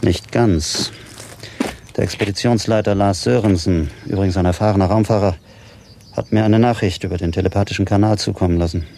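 An actor playing a grave professor who runs space missions in a radio drama, mellow, measured and formal. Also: male voice, on the low side, steady tone, slight background noise, lightly acted. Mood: confiding. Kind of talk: German radio drama acting.

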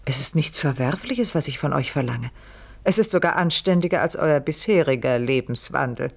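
A woman's voice: rumbling low, animated, moderate pace, vocal fry, full character voice. An actress playing a scheming, commanding aristocratic lady in a radio play, speaking in a refined, theatrical and controlling manner. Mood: cool, commanding. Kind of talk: 1970s German radio play acting.

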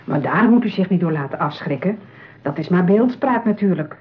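An actress playing a smooth, patronising saleswoman in a radio play, with polished, persuasive patter. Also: fairly low pitch, lively intonation, brisk, natural voice. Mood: reassuring, persuasive. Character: smooth, patronising, eager saleswoman at a matchmaking agency. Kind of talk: radio drama acting. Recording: tinny, narrow-band old radio play.

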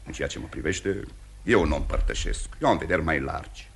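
An actor playing a tactful, reserved prince in a radio play, speaking in a measured, formal and polite way. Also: male voice, rumbling low, lively intonation, creaky, full character voice. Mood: measured, reasoning. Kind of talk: mid-century Romanian radio theatre acting.

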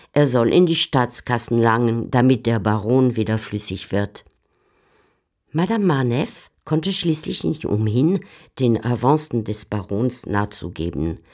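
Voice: medium pitch, darkish tone. A narrator telling a literary story in a scripted radio drama.

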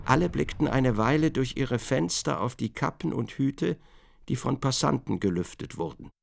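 A man's voice: medium pitch, expressive, moderate pace, gravelly. A man narrating a literary radio drama from a script.

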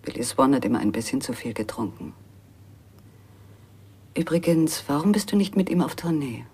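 A wary, cool femme fatale in a noir radio play. Her voice is husky and breathy, hushed and sparing with words, with a melancholy edge. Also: a bass voice, lively intonation, normal speed, exaggerated voice. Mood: guarded, melancholy. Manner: hushed, terse. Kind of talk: radio drama acting.

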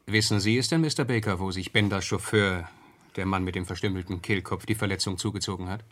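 Cool, determined reporter sleuth. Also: male voice, low-pitched, fast talker, a bit bright. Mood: inquisitive. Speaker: actor in a radio play. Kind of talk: German radio crime drama acting.

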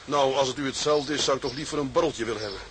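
A man's voice: medium pitch, racing, a bit bright, a little hiss or hum, lightly acted. An actor playing a young boatswain in a radio drama, respectful to his superior, hesitant but candid. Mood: polite, deferential. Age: young adult. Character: young, frank, respectful boatswain from the towing trade.

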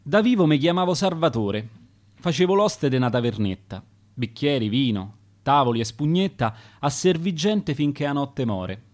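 A man's voice: mid-range voice, animated, moderate pace, close to an everyday voice. A man reading the verse of a dead tavern keeper recalling his customers, in a Roman accent. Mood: wistful. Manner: reciting dialect verse in rhyme. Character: dead old tavern keeper, wistful storyteller.

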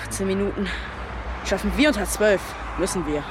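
An actor playing a cocky young man, a brisk and precise planner with a clipped, teasing manner, in a naturalistic German radio drama. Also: high-pitched, background noise, close to an everyday voice. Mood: brisk, decisive.

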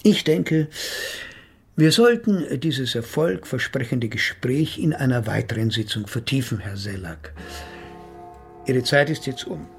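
A man's voice: medium pitch, lively intonation, measured pace, gravelly, slightly rough, soft background music, exaggerated voice. An actor playing a self-assured, pompous male psychotherapist in a German radio play, speaking in elaborate, theatrical phrases. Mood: smug, lecturing. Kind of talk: German radio play, dialogue and first-person narration.

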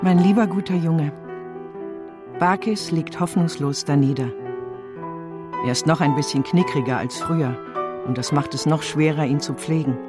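An actress playing a loving, plain-hearted elderly nursemaid, speaking in a tender, homely way in a radio play. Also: female voice, low-pitched, normal speed, pauses often, music in the background, lightly acted. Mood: tender, uncomplaining.

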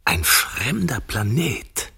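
A possessive dreamer in a radio drama, conjuring a private paradise. His voice is low and very husky, lingering on words, then tipping into exasperation. It rings in a long, hall-like reverb. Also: big pitch swings, takes it slowly, sharp tone, heavily acted. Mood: dreamy, savouring. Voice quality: husky, gravelly. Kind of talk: radio play dialogue.

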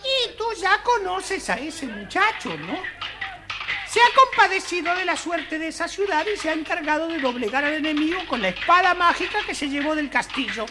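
An actor voicing an excitable, soft-hearted, grumbling little male dwarf in a children's audio storybook, theatrical and exclamatory. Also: extremely high pitch, normal speed, loud music behind the voice. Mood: chatty, mock grumbling.

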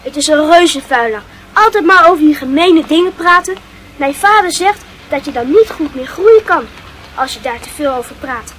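A woman's voice: high voice, lively intonation, normal speed, a bit bright, a little hiss or hum, poor audio. A woman playing a prudish, indignant, gossipy schoolchild in a radio play, speaking emphatically. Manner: emphatic, repetitive.

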